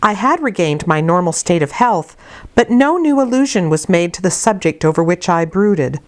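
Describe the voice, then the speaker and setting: Woman reading an old novel aloud, lowish voice, careful measured storytelling. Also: very animated. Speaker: audiobook narrator. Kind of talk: scripted literary narration.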